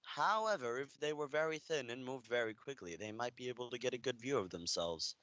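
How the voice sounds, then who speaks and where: A man's voice: medium pitch, lively intonation, brisk, a bit bright, no echo, highs cut off. A young man narrating a scripted radio play story.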